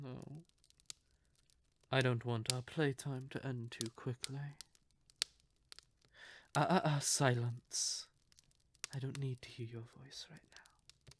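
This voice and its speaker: A man voices a cold, possessive male vampire in a roleplay audio drama. He speaks slowly at a medium pitch, with theatrical, condescending menace toward a lover who betrayed him. Clear background noise sits in the recording. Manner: theatrical, slow, condescending, deliberate.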